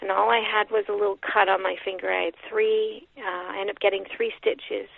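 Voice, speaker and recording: Soft-spoken woman on a tinny phone line. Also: mid-range voice, little pitch movement, a little fast, vocal fry, dry recording. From Canada. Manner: soft-spoken, reflective, with frequent fillers. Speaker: guest author. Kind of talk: interview over the phone.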